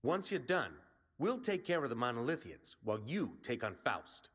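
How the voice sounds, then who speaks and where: A man's voice: medium pitch, sing-song, normal speed, fairly bright tone, husky. An actor playing a brash male resistance commander in a video game, rallying and plain-spoken like a soldier. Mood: confident briefing.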